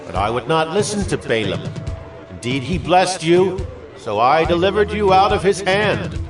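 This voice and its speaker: Solemn aged leader, music behind. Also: male voice, a little high, sing-song, moderate pace, far from the mic. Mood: proclaiming. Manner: solemn, declamatory, emphatic. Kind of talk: dramatized scripture oratory.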